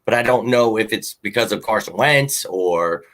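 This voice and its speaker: A man with a fairly low, slightly hoarse voice talks sports in a casual, rambling way. He hosts a fan show and drifts between opinions with plenty of ums and uhs. He sounds like a fan, opinionated and unpolished. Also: animated, moderate pace, a bit bright. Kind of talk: casual sports talk with viewer comments.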